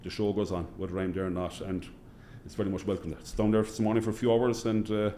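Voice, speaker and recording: A middle-aged man with a Cork Irish accent, answering interview questions as a hospital chief executive in a measured way, repeating phrases for emphasis. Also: fairly low pitch, level tone, dark tone, slight background noise. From Cork, Ireland.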